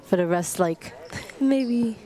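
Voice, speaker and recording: A young woman, a college player, giving relaxed and giggly guest commentary on a basketball game, with a lot of "like". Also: fairly high pitch, slow pace. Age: young adult. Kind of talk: casual commentary. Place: college gymnasium at a live game. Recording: crowd noise in a gym.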